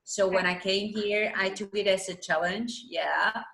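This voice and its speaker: A woman's voice: mid-range voice, fairly even intonation, unhurried, vocal fry, fairly bright tone. A woman in her twenties from Albania with an Albanian accent, answering interview questions conversationally in a second language, repeating short phrases for emphasis. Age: 20s. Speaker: interview guest, math teacher. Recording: roomy, echoing sound.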